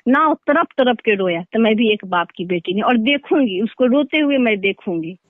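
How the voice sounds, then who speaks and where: A woman's voice: a little high, lively intonation, moderate pace, no echo. A woman in a heated phone argument, accusing and repeating her demands over a tinny, narrow line. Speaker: caller in a personal confrontation. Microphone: phone call.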